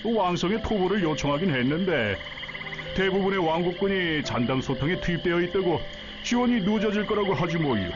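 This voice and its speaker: A man's voice: high voice, animated, brisk. A man voicing a talkative, rustic middle-aged harbor villager in a Korean game story, with familiar, blunt speech. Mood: apologetic. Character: chatty, folksy middle-aged male port villager. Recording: dull sound under music.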